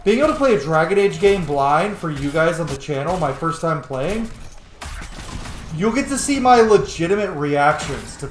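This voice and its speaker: A man giving casual, informal gameplay commentary to his viewers, excitable and prone to swearing. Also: on the high side. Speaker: let's play host. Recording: roomy echo, faint background noise.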